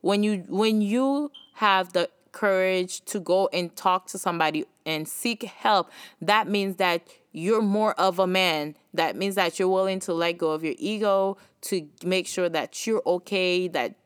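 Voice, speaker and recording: A young woman born in Haiti talks earnestly about faith and mental health in a loose, casual way, full of little fillers. Her voice is smooth and clear, a bit low for a woman, and recorded close and dry. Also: a little slow, dry recording. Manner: earnest, informal, many fillers. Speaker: speaker sharing faith and mental health advice. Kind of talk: casual faith-based talk.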